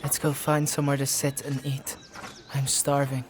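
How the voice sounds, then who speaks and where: A performer playing an earnest, naive young squire boy in a dark fantasy audio drama, plain-spoken and questioning. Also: medium pitch, completely flat delivery, moderate pace, slight background noise, close to an everyday voice. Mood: casual, curious.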